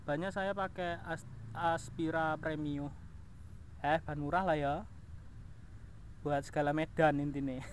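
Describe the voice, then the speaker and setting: Man, informal vlog host, higher-pitched voice, outdoor action-camera sound, clear background noise. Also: fairly even intonation, a little slow, long pauses. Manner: informal, colloquial, addressing the viewer. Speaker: motovlog host. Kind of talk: casual vlog walkaround of his motorbike. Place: outdoors in a house yard.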